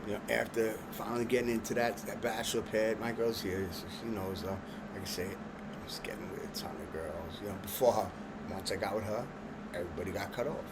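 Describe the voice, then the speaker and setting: Man, Long Island accent, low voice, streetwise slang, rambling casual storytelling, noisy street background with vehicles. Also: steady tone, a little fast. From Long Island, New York. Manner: streetwise slang, filler-heavy, restarts, laughs at his own jokes. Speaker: vlogger telling a personal story.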